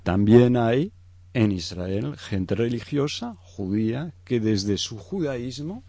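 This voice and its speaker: A man's voice: mid-range voice, lively intonation, takes it slowly, mellow, dry recording. A middle-aged man from Egypt explaining things in an unscripted interview, hesitant, searching for words and often adding tag questions. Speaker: interview guest.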